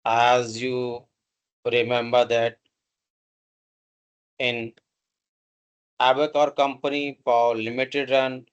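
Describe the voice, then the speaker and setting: Slow-talking man giving a software tutorial. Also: medium pitch, flat delivery, smooth, lo-fi sound. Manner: halting, instructional, pausing between short phrases. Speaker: software instructor. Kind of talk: step-by-step screen tutorial narration.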